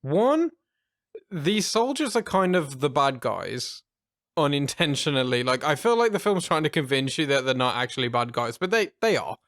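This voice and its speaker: A man's voice: fairly high pitch, very animated, moderate pace, a bit bright, no echo, clean studio sound. A man from the UK, co-hosting a film podcast, chatty, enthusiastic and swearing freely. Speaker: podcast co-host. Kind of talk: casual film chat.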